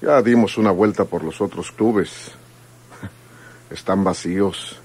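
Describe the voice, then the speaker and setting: A man playing a smug, fawning henchman with a husky voice, reporting deferentially in a radio drama. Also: low voice, slow pace, a little mellow, exaggerated voice.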